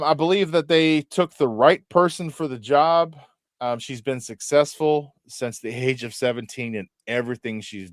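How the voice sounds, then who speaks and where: A man's voice: mid-range voice, dry recording, clean studio sound. A man on a gaming podcast panel, rambling and opinionated, often trailing off mid-sentence. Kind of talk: casual panel chat.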